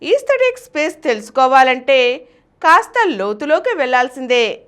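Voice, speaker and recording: High-pitched, expressive woman presenter. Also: normal speed, smooth. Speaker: channel host and presenter. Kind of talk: scripted literary review narration with recited verse.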